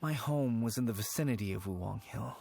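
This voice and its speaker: A man voicing an anxious young man haunted by a lost childhood friend, speaking in a halting, worried, soft-spoken way, in game audio. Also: medium pitch, creaky, natural voice. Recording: game audio with background music.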